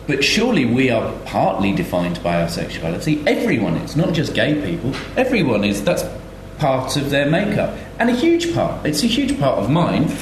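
A man's voice: mid-range voice, huge pitch swings, normal speed, hardly any pauses. A man speaking as a panellist in an informal discussion, emphatic and often repeating his phrases. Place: echoey room at a live event. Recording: echoey room, faint noise.

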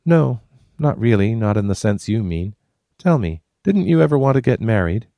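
A man's voice: on the low side, big pitch swings, normal speed, creaky, warm tone, no echo, voice pushed up. A man voicing a spirited frontier woman who teases her companions and talks breezily. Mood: reflective. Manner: teasing, breezy.

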